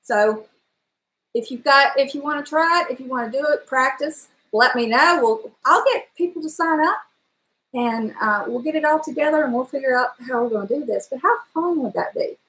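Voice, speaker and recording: A middle-aged woman talks to her live-stream viewers in a chatty, animated way, and her voice sits a little high. She has a powerful, trained singer's voice. The sound comes from a webcam in a home kitchen, where the room adds a noticeable echo. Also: moderate pace. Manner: chatty and animated, gesturing, breaks into song. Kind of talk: casual live-stream chat. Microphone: webcam at desk distance.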